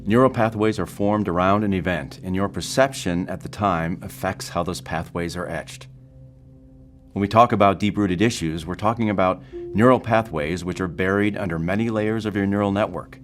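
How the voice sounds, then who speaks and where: An actor as a calm, patient therapist, a man with a very low voice. He speaks in a measured, clinical but reassuring manner for an audio drama, over faint music. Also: lightly acted. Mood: calm, probing. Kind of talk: naturalistic audio drama acting.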